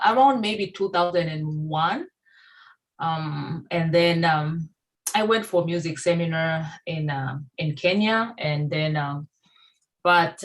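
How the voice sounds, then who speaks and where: A woman in her forties from Tanzania, a Swahili speaker, speaking earnestly as a guest on a video-call interview. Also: lowish voice. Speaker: gospel singer guest.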